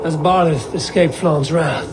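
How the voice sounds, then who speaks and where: An actor playing a hardy Norse warrior in a video game, blunt and measured, with wry questions. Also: male voice, fairly high pitch, reverberant, noisy background, natural voice. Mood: blunt, questioning. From Norway. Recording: game audio under loud music.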